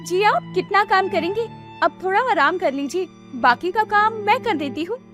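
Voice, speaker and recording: Lady putting on a sweet caring cartoon wife, high pleading voice, faint music under it. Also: expressive, moderate pace. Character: caring young village wife, affectionate and pleading. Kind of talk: Hindi cartoon story dubbing.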